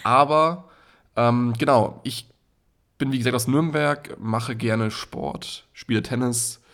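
A man's voice: mid-range voice, slow pace, a bit bright. A nineteen-year-old man from Germany, co-hosting a podcast in a rambling, joking casual chat. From Nuremberg, Germany. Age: young adult, 19. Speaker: podcast co-host.

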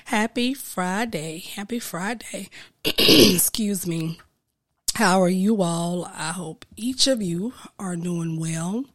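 A woman hosting a casual solo podcast, sounding congested and a bit nasal from a cold, talking slowly and earnestly with frequent ums. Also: lowish voice. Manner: slow, earnest, with frequent ums and soft asides. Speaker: podcast host and business coach.

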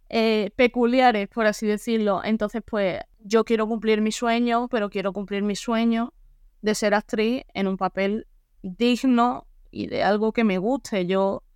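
A young woman with a southern Spanish accent speaks frankly in a casual podcast interview, her voice pitched a little high. The tone is clean and smooth, recorded close and dry with no room sound. She rambles with plenty of fillers. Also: darkish tone, no room sound. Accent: southern Spanish, Ceuta. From Ceuta. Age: young adult. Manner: frank, talkative, lots of fillers. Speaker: podcast guest.

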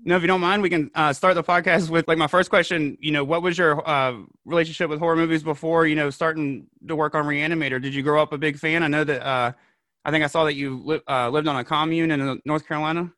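A man's voice: a little high, steady tone, fast talker, sharp tone, dry recording. A man hosting a horror podcast, chatting casually with lots of fillers. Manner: laid-back, full of fillers. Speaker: podcast host. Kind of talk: casual chat.

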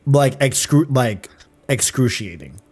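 A man's voice: medium pitch, sing-song, takes it slowly, a little mellow, breathy edge. A man hosting a casual podcast chat, laid-back and slangy, often trailing off and repeating phrases. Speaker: podcast host.

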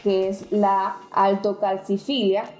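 A woman's voice: medium pitch, level tone, takes it slowly, darkish tone, roomy sound, faint music behind, low-quality recording. A woman co-hosting a podcast who explains topics frankly in casual chat, with many restarts. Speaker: podcast co-host.

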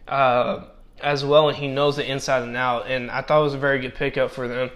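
Halting young man losing his voice. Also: medium pitch, fairly bright tone. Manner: halting, many fillers and restarts. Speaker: football draft analyst. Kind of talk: casual solo commentary. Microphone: personal microphone.

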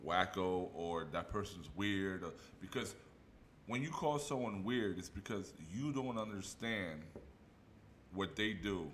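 Deep-voiced guy hosting, rambles and jokes, faint noise. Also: animated, measured pace, a bit bright. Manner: rambling, jokey, acts out quoted voices. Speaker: radio host. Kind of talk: casual radio talk.

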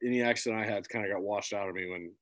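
A man's voice: on the low side, fairly even intonation, fast talker, heavy background noise. A man from central Illinois, a musician guest talking in an earnest, rambling way with many restarts. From central Illinois, USA. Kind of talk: casual interview chat.

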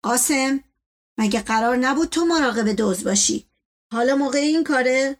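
A woman voicing an imperious, suspicious older male patriarch in an audiobook story, stern and pompous in manner. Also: on the high side. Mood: angry, accusing.

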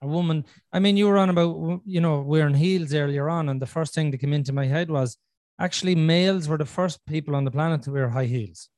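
A man hosts a casual podcast, working his points out aloud with restarts and lots of "you know". His voice sits a little higher than most men's. The recording is dry and close, with no room sound. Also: a little fast, a little mellow, no echo. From Ireland. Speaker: podcast host. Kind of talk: casual conversational podcast chat.

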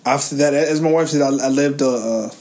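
A man's voice: mid-range voice, fairly quick, darkish tone. A man in his thirties from Haiti co-hosting a podcast, goofy and jokey, rambling through his story. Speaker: podcast co-host. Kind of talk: casual chat.

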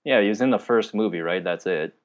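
A young man co-hosting a podcast in casual chat, talking in a low-key, flat, monotone way. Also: brisk. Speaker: podcast co-host.

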